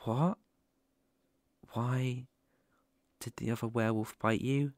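A British man playing a gentle, protective werewolf mentor in an ASMR roleplay, speaking softly, tenderly and reassuringly. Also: medium pitch, steady tone, no echo, close to an everyday voice. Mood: calm, instructive. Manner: soothing, unhurried, tender.

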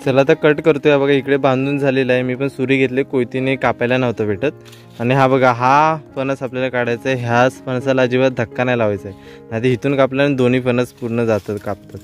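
A man's voice: mid-range voice, flat delivery, a little fast, soft background music. Young man chatting through an orchard vlog. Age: young adult. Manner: chatty, explains step by step to viewers. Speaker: vlogger. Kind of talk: casual outdoor vlog narration. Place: hillside orchard outdoors.

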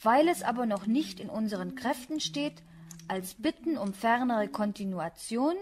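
Actress playing a coquettish high-voiced young daughter. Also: female voice, lively intonation, normal speed, darkish tone, natural voice. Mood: careful, proud. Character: coquettish young merchant's daughter, proud, easily flustered. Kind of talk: German radio play acting.

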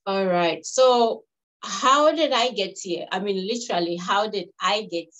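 A woman in her fifties from Ghana, English not her first language, giving a webinar talk in a measured way with pauses and restarts. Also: mid-range voice, fairly bright tone. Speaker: health researcher presenting. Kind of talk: webinar presentation. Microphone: video call. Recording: dull lo-fi webinar audio.

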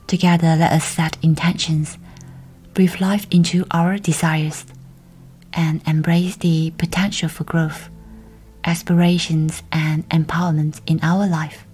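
Female meditation guide, low-pitched, soft and whispery, gentle measured read over faint music. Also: flat delivery, slightly rough.

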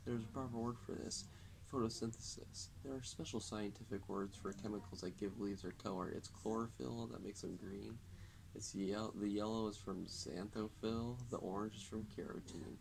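A young man reads a factual children's book aloud in a deadpan way. His voice sits fairly low with plenty of fry in it. He is recorded from a few feet away indoors, with clear background noise. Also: normal speed, rough recording. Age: young adult. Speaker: reader. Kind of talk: reading a children's non-fiction book aloud. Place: indoors, public library, at a table.

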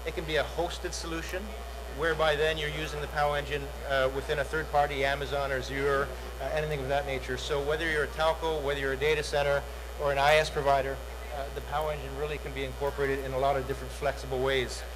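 Middle-aged man demoing software on stage. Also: fairly high pitch, level tone, quick pace, hardly any pauses, background noise. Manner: salesy, repeats words, many "uh" fillers. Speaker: product demo presenter. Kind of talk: conference tech demo. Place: large dark conference hall, on stage.

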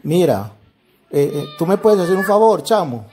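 A man's voice: high-pitched, sing-song, moderate pace, music in the background. A man with a Venezuelan accent making a prank phone call, pleading hesitantly while playing it straight. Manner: pleading, hesitant, repeats "chamo". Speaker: prank caller, channel host. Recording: very noisy phone prank recording.

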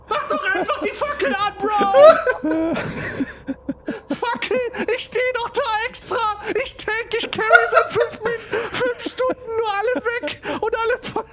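A man's voice: very high voice, slow, a loud crowd. A man playing a tabletop game, talking in casual, slangy gamer chat.

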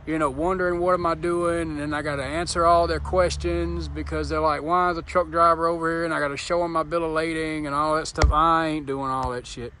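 A man's voice: steady tone, a little fast, few pauses, bright, forceful tone. A man who drives trucks, talking casually to viewers in a folksy, informal way while filming outdoors on a phone. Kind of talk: casual vlog narration to viewers. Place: outdoors on a roadside at night. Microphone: handheld phone. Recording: clear outdoor vehicle noise behind voice. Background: rumbling truck engine.

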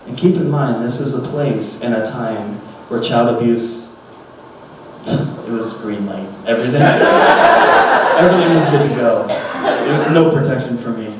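A man in his thirties doing stand-up, telling a childhood story with animated, ranting bursts into a handheld mic on a small club stage. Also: medium pitch, unhurried. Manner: animated, ranting bursts when acting out his younger self. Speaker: stand-up comedian. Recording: roomy club sound from a distant camera. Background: audience laughter and room noise.

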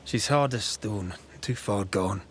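A man playing a resolute, grave ghost hunter in a dramatic video game, measured and stern, with a gravelly voice. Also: lowish voice, a little hiss or hum. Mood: condemning.

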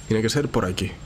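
Congested, nasal man with a lowish voice. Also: slight background noise. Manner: informal, chatty, thinking aloud. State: sore throat from a cold. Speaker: gaming channel host. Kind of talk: casual Let's Play commentary. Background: game music.

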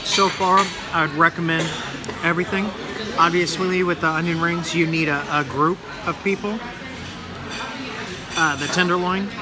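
A man in his thirties vlogging casually about his meal in a busy sports bar, talking between bites into a phone held at arm's length. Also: on the high side. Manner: rambling, talks between bites with mouth full. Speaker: food vlogger. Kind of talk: casual vlog commentary while eating.

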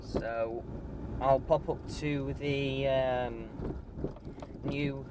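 Rambling man driving, loud road noise. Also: medium pitch, fairly even intonation, drawn-out words. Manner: rambling, with many ums and restarts. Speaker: vlogger giving a driving tour. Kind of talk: casual driving commentary. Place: inside a moving car.

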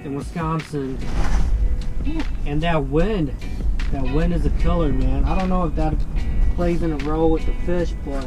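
A man in his thirties, pitched a little high, talks easygoing and chatty into an action camera inside a small pop-up ice fishing shanty. He keeps it casual, peppering his lines with "dude" and "man." Clear music runs behind him. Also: poor audio. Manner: laid-back, chatty, frequent "dude" and "man". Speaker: fishing vlogger. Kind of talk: casual vlog talk to camera. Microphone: action camera mounted inside the shanty. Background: background music.

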